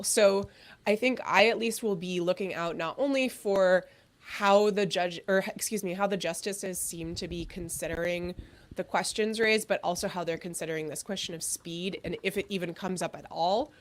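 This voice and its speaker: Hoarse woman with a cold. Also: fairly low pitch. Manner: analytical, thinks aloud with many fillers. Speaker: legal commentator. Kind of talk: live stream discussion.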